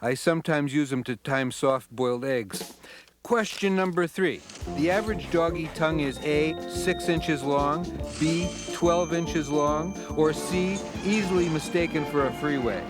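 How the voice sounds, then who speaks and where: Man voicing a wry, deadpan cartoon cat. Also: on the high side, measured pace, over music, close to an everyday voice. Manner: deadpan, wry, put-upon. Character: sardonic, lazy, food-loving cartoon cat hosting a quiz. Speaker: quiz show host. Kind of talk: cartoon voice acting.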